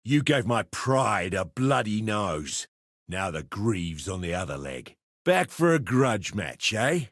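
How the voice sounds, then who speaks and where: Game fighter: cocky, combative, creaky gravel, unhurried punchy barks, dry recording. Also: male voice, fairly low pitch, very animated, a bit bright, dry recording, heavily acted. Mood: menacing relish. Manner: taunting, punchy short barks. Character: cocky, menacing arena brawler relishing violence.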